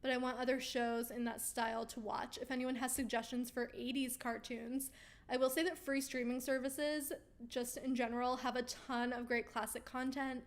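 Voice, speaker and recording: Chatty woman host, voice going hoarse. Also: sits high, flat delivery, moderate pace. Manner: chatty and upbeat, with frequent ums and easy laughs. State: losing her voice. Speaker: host of a weekly live Q&A stream. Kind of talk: casual livestream chat, reading viewer comments aloud.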